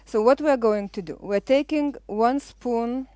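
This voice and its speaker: A woman's voice: fairly high pitch, warm tone, no room sound. Lithuanian woman hosting a live cooking show. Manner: chatty, explains while cooking, frequent uh pauses. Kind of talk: casual live cooking demonstration.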